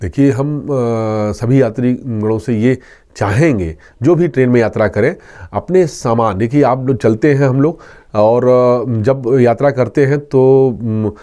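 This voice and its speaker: Male police inspector, soft dark timbre, earnest and instructive interview delivery. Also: medium pitch, normal speed. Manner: earnest, instructive, repeats key points. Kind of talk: explanatory interview answers with safety advice.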